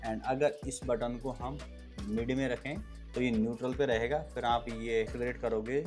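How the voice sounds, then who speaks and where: A man's voice: mid-range voice, level tone, normal speed, darkish tone, soft background music. A man giving a casual, step-by-step product review, addressing viewers as friends. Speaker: product reviewer and video host.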